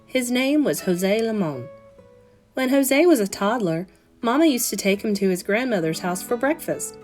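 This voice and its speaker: A woman, a teacher, reading a children's picture book aloud in an expressive, carefully phrased, dramatic manner. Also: fairly high pitch, moderate pace. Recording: soft guitar music behind voice. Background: plucked guitar music.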